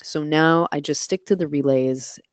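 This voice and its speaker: A woman's voice: sits low, steady tone, normal speed, clear, resonant tone, no room sound. A woman with a voice suited to radio, answering questions as a guest expert in a live webinar and explaining her methods step by step. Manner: practical, explains in steps with self-corrections.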